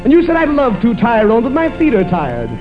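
A high-voiced man delivers vintage 1940s radio comedy with overblown romantic theatrics, lingering on repeated phrases. The recording is muffled and dated, with clear music behind him. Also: wildly swooping pitch, a little fast. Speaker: comedy radio show host.

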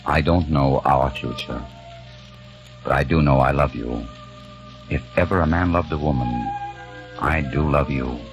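A man's voice: extremely low pitch, unhurried, pauses often, over music. A man in a 1940s radio drama playing a modern man stranded in eighteenth-century London, given to impassioned, bitter outbursts. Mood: tender.

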